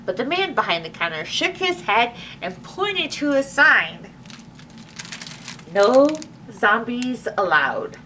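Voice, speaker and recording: Children's storyteller, female: high lively voice, expressive read-aloud with asides, slightly distant mic. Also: unhurried, few pauses. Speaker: librarian hosting children's story time. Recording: distant mic with faint background noise.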